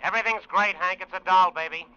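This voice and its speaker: A man's voice: sits high, fast talker, sharp tone, clean tone. A man playing a cocky, easygoing American test pilot in a 1950s radio drama, talking in breezy slang over a cockpit radio. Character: cocky, easygoing male test pilot flying a rocket plane. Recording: dull vintage radio recording.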